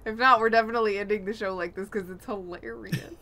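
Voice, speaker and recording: A woman's voice: medium pitch, steady tone, fast talker, sharp tone. A woman co-hosting a casual gaming chat over an online video call. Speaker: podcast co-host.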